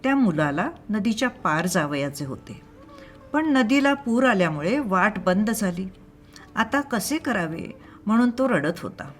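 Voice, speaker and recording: A woman narrating a scripted moral story in a measured, expressive storytelling manner. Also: medium pitch, soft background music. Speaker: storyteller and channel host.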